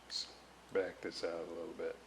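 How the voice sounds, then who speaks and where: A man's voice: low-pitched, flat delivery, normal speed. A man casually narrating hands-on repair work as a hobbyist, thinking aloud as he goes in an indoor workshop. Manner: unscripted, thinking aloud while working. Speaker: radio repair hobbyist and video host. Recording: roomy echo, noticeable background noise.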